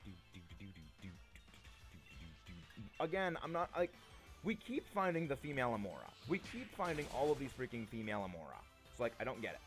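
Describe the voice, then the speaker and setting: Young male streamer, slightly gravelly, lively pitch, chatty and playful with chat. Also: fast talker, soft background music. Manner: playful, chatty, repeats himself, reads chat aloud. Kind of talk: casual live-stream chat with viewers.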